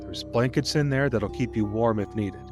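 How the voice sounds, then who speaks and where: An actor playing a protective, guilt-ridden deputy father in an audio play, speaking tenderly and haltingly with a stern edge. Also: medium pitch, over music, close to an everyday voice. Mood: protective, instructing. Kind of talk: dramatic audio theatre acting.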